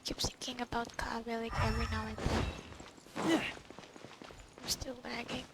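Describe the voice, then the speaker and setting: A woman's voice: fairly high pitch, little pitch movement, measured pace, very noisy. A woman doing casual gameplay commentary, muttering to herself and to the game as she plays. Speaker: gamer recording her playthrough. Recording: loud game music over the voice. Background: loud video game music and effects.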